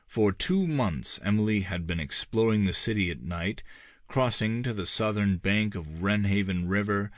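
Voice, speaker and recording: A man with a deep voice narrates a fantasy novel in audiobook style. His delivery is measured and deliberate, with dramatic pauses between phrases. The sound is dry, with very little room around the voice. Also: dry recording.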